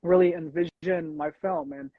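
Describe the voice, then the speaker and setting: A man's voice: high-pitched, expressive, normal speed, vocal fry, no echo. A young American man hosting a casual interview, talking in a rambling way with frequent restarts. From United States. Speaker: host. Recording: dull lo-fi sound.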